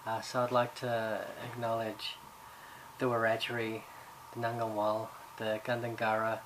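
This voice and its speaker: A man's voice: on the low side, completely flat delivery, unhurried. A man from Ireland, raised in Australia, giving a recorded course introduction as subject coordinator, talking hesitantly with many fillers and restarts. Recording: background noise.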